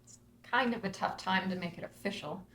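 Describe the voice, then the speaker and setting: Woman reading an earnest grieving daughter, mid-pitched, roomy echo, faint hum. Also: brisk, a bit bright, close to an everyday voice. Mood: wistful. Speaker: actor in a table read. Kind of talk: screenplay table read.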